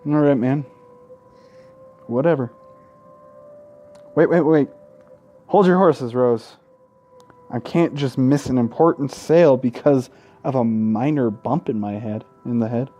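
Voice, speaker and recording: A man's voice: medium pitch, a little slow, pauses often, warm tone, soft background music. A man reading game narration aloud in a let's play, irreverent and sweary, often tripping over the words. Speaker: gaming YouTuber.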